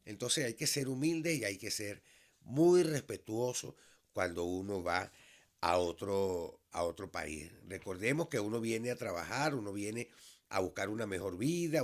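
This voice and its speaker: A Venezuelan man in his fifties, a teacher, speaking in a measured, earnest monologue that has frequent restarts. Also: on the low side, a bit bright. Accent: Venezuelan Spanish. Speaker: vlog host, high school teacher. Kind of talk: reflective solo monologue.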